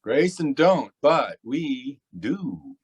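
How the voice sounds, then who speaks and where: A man's voice: mid-range voice, big pitch swings, very slow, breathy edge, lo-fi sound, exaggerated voice. A man playing a cocky, stubborn racketeer boss in an old time radio crime drama, with brash, slangy tough-guy talk. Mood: smug.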